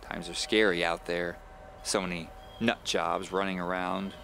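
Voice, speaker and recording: A man's voice: low voice, steady tone, measured pace, creaky, sharp tone, a little hiss or hum, exaggerated voice. A man voicing a cheerful, chatty, nosy woman of about seventy in an audio drama, with a folksy, fussing manner. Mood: fretful.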